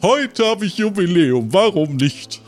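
A man's voice: high voice, sing-song, unhurried, faint music behind. A man voicing a chirpy, giggly, polite bartender in a role-playing game. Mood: cheerful.

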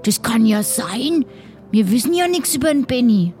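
A woman's voice: on the high side, lively intonation, normal speed, a little mellow, soft background music, natural voice. A woman playing a chatty, loyal, naive male pirate in an improvised adventure, rambling along colloquially and hesitantly. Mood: chatty, cheerful.

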